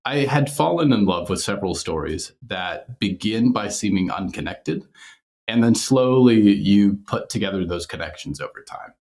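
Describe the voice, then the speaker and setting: A man's voice: on the low side, animated, moderate pace, darkish tone. A man being interviewed as a podcast creator, talking conversationally and reflectively in long, winding sentences.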